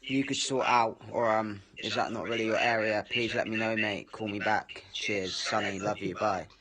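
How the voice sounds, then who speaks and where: A man's voice: lowish voice, moderate pace, rough recording. Cheeky man in a voice message. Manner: cheeky, deadpan mock-serious. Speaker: voice-message sender. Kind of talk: recorded voice message.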